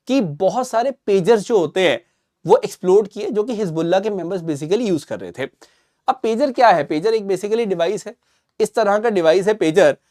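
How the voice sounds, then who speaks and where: A man's voice: high-pitched, a little mellow. Emphatic Indian man explaining the news. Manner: mixes Hindi with English phrases, emphatic, didactic. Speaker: current affairs educator and presenter. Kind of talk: explanatory news commentary for students.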